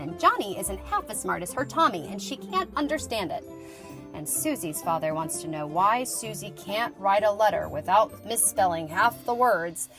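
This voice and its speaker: A woman playing a lively young schoolteacher reading out her letter in a conversational, wryly humorous way, in an acted audiobook. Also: medium pitch, natural voice. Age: young adult. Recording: music playing behind the voice.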